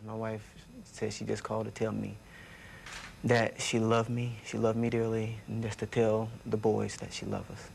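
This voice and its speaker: A man giving a news interview as a bereaved husband. His voice is low, gravelly and very level in pitch, recorded with faint background noise. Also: little pitch movement, normal speed, a little mellow.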